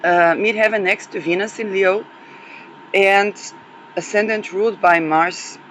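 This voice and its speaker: Hoarse woman rambling through a solo monologue. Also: mid-range voice, steady tone, unhurried, fairly bright tone, a little hiss or hum, rough recording. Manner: hesitant, many fillers and restarts. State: losing her voice. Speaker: astrology podcast host.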